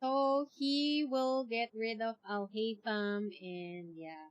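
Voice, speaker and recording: A woman's voice: a little high, steady tone, slow, no echo. A woman with a Filipino accent giving casual live commentary as she streams a game. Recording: game music behind.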